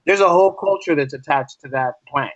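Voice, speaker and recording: A man from the US East Coast with a somewhat high voice speaks casually, like a teacher explaining an idea to a friend. He often stops to check in with rhetorical questions. The recording is a little muffled and lo-fi. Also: brisk, no room sound. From United States, East Coast. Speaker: podcast guest, English as a second language teacher. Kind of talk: casual interview conversation.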